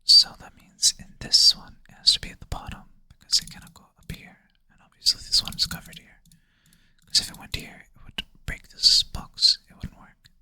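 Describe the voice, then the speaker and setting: Soft whisper, sleepy. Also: normal speed. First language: Swedish. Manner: soft whisper, thinking aloud, self-deprecating. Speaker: ASMR creator. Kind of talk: whispered ASMR puzzle play-through. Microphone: close ASMR mic.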